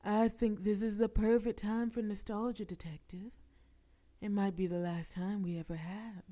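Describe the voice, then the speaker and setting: A woman's voice: medium pitch, level tone, moderate pace, dark tone. A woman voicing a bold, flirtatious female mafia boss in an audio roleplay. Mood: focused. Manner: teasing, flirtatious. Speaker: voice actress.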